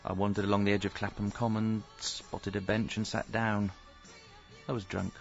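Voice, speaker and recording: A rueful, self-pitying middle-aged husband narrates a radio comedy. His deadpan voice is low and creaky, with faint music in a slightly dull recording. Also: normal speed.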